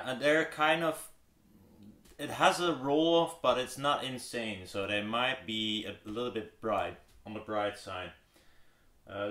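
A man's voice: on the low side, bright, forceful tone. A man in his thirties chatting casually and hesitantly, thinking aloud as he presents a demo. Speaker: DIY loudspeaker builder presenting a listening demo. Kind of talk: casual, unscripted chat. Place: living room with speakers, indoors. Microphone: camera a few metres away. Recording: roomy echo, slap delay.

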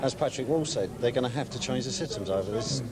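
A man's voice: mid-range voice, fast. A man in his thirties giving his opinion to a reporter. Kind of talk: vox pop opinion. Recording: very loud crowd.

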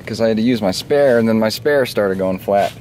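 A man's voice: fairly low pitch, brisk, a little mellow. A man from the American South chatting casually and thinking aloud as he works on his car, offhand and a little profane, recorded on a handheld camera. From southern United States. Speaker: car vlogger. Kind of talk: casual rambling chat while working on a car. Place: outdoors at an open car trunk. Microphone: handheld phone or camera mic. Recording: noisy handheld recording with clicks and clunks.

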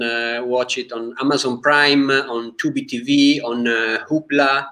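Slow, halting Italian-accented man. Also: medium pitch, sharp tone. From Italy. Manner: halting, searching for words, frequent uh and restarts. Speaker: guest filmmaker. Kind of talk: casual interview chat. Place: home room, indoors. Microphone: video call webcam.

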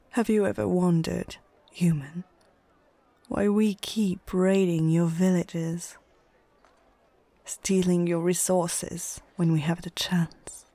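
Woman playing a slow, imperious female orc chieftain. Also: lowish voice, mellow, no room sound. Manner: slow, imperious, condescending, often dropping to a whisper. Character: proud, menacing female orc chieftain and war commander. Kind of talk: ASMR fantasy roleplay. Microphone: close microphone, dry.

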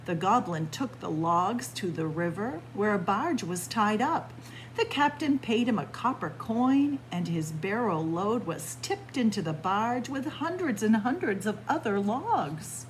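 A woman reads a picture book aloud to young children as a library storyteller. Her pitch is animated and rises and falls expressively. She sits in a library room, with clear background noise in the recording. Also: unhurried, rough recording. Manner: expressive, addressing young listeners as friends. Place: indoors, library room with bookshelves.

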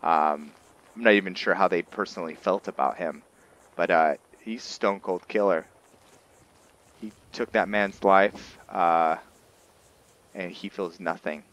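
Very low-voiced man doing casual game commentary. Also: unhurried, pauses often, fairly bright tone. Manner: explains by asking and answering own questions. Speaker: gamer narrating a roleplay playthrough.

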